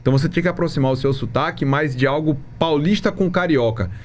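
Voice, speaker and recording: A man's voice: a little high, fast talker, slight background noise. A Brazilian man on a sports talk panel, explaining his view earnestly. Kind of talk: casual sports talk chat.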